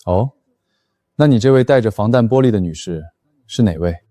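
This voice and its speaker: An actor playing a cool, domineering young company boss with a deadpan, sarcastic and controlled manner in an animated drama. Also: male voice, on the low side, moderate pace. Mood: dry sarcasm. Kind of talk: animated short drama dialogue.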